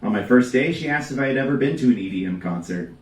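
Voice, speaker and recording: A man reading a comedic story aloud to a live audience with a deadpan, deliberate delivery. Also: mid-range voice. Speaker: reader at a live storytelling show. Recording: roomy live venue, distant mic.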